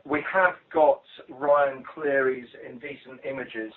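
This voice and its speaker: A tinny phone line carries a British man with a medium-pitched voice. He talks in a halting, hesitant way, like a police officer briefing colleagues informally on a conference call. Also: fairly even intonation.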